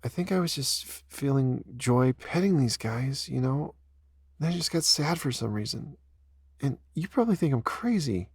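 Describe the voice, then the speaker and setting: A man's voice: very animated, moderate pace, darkish tone, husky, no room sound, studio quality. A man voicing an emotional young woman who is crying and unsure of herself. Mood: tearful. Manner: shaky, rising into higher pitch. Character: emotional young woman, tearful and self-doubting.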